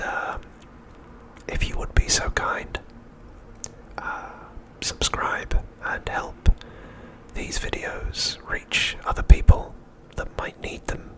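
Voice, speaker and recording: A man hosting ASMR in a breathy whisper, speaking in a gentle, soothing and reassuring manner. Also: low-quality recording. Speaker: ASMR channel host.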